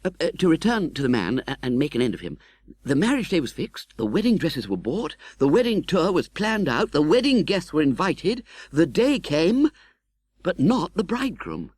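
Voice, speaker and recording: Man playing an animated, higher-pitched young gentleman. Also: normal speed, no room sound. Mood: earnest, confiding. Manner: animated, chatty, hesitating with little 'uh's. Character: Herbert, genteel, candid, cheerfully optimistic young gentleman. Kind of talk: audiobook character acting.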